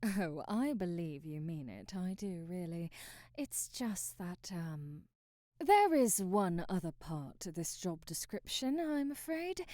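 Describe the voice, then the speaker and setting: A woman's voice: mid-range voice, darkish tone, no room sound, studio quality. A woman playing an eccentric traveling magician, brash, teasing and flirtatious, in an intimate, theatrical ASMR roleplay. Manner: theatrical, teasing, drawling asides and murmurs.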